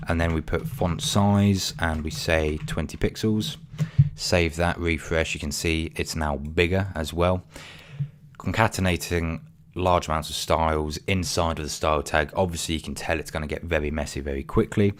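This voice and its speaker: A man, voice getting croaky, talking through a coding tutorial casually with many ums and apologies. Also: rumbling low. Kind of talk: screen-recorded coding tutorial.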